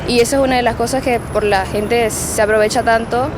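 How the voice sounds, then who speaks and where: A woman's voice: on the high side, fairly even intonation, brisk, noisy background. A woman giving a casual personal answer in a street interview. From Venezuela. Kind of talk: casual vox pop answer.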